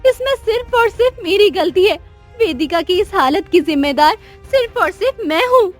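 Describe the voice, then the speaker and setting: A very high, girlish-pitched young queen voiced by a woman from a cartoon tale. She is an anxious, guilt-ridden mother who speaks earnestly and dramatically, pleading, with faint music underneath. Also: expressive, normal speed. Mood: tearful remorse. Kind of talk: Hindi cartoon story dialogue.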